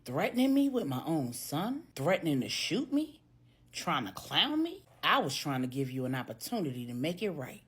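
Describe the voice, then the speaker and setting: A woman's voice: low-pitched, very animated, normal speed, slightly rough, voice pushed down, heavily acted. A woman voicing a bitter, menacing older male American gangster in an audio story, taunting and aggrieved. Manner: taunting, aggrieved street talk.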